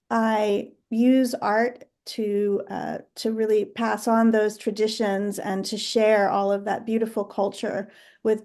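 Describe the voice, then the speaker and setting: A middle-aged woman from New Jersey, a guest artist in a conversational interview, speaking thoughtfully with many pauses. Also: medium pitch, a little mellow. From United States (New Jersey).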